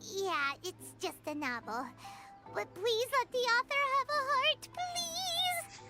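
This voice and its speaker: A tiny, perky female fairy from a video game, with an extremely high, squeaky, childlike voice. The intonation leaps around brightly. Prominent music plays underneath. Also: animated, slow, full character voice. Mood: dramatic, emotional. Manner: squeaky, refers to self in third person.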